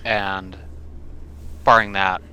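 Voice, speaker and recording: A man playing a tabletop role-playing game, talking casually and haltingly as he states what his character does. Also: deep voice, flat delivery, sharp tone, a little hiss or hum.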